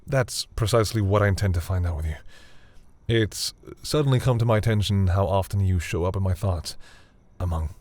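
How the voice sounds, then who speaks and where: A man playing a flustered, tender vampire boyfriend in an intimate audio roleplay, speaking haltingly with stammers and sighs. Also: sits low, a little mellow, no echo, natural voice. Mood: hesitant, earnest.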